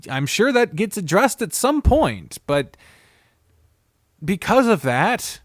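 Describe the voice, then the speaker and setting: A man's voice: high-pitched, sing-song, slow pace, no echo, clean studio sound. A man giving a casual, unscripted video review as a streamer, rambling candidly and swearing freely. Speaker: visual novel streamer and reviewer.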